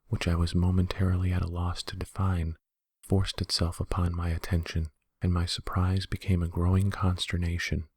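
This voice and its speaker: Man, soft-spoken ASMR story narrator, very low pitch, flat intonation, hushed and measured. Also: husky, no echo. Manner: quiet, measured, hushed delivery. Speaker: narrator reading a horror story.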